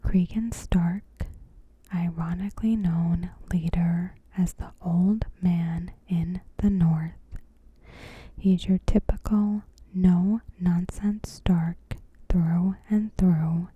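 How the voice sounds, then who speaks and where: A woman ASMR host giving commentary in a hushed, whispery, breathy voice, in measured short phrases. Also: on the low side, steady tone, muffled recording. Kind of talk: ASMR, softly spoken TV episode commentary.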